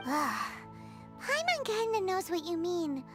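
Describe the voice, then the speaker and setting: A woman voicing a tiny childlike fairy girl in a video game, squeaky, cutesy, curious and blunt. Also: big pitch swings, takes it slowly, exaggerated voice. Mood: puzzled. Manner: cutesy, refers to self in third person. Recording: game audio under loud music.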